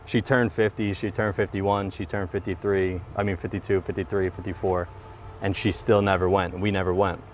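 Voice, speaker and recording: Male guest, 30s, low voice, sincere and reflective, casual outdoor chat. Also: flat delivery, normal speed, slight background noise. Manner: earnest, reflective, repeats phrases. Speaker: guest, mortgage business owner. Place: outdoors by a parked car.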